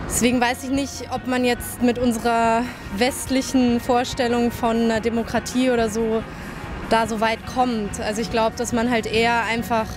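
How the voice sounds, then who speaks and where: A woman's voice: a little high, level tone, normal speed, music in the background. A young woman passer-by giving a thoughtful, hesitant opinion on a city street. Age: young adult. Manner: thoughtful, hesitant, many fillers. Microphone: handheld mic held by interviewer.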